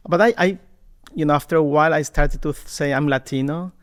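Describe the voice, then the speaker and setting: Animated Ecuadorian-born man telling his story. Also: fairly high pitch, a little fast. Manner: warm and animated, repeats words when starting. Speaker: podcast guest, marketing executive. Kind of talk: conversational storytelling.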